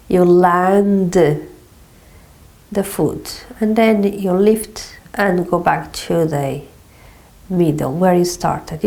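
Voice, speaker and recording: Female movement teacher, stuffy nose from a cold, slow mellow delivery. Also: medium pitch. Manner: slow, calm step-by-step guidance with frequent "okay". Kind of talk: guided exercise instruction.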